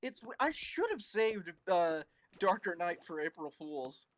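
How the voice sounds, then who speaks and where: A man's voice: high voice, sing-song, unhurried. A man taking part in a podcast, chatting casually in a blunt, informal way. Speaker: podcast participant. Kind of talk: casual chat.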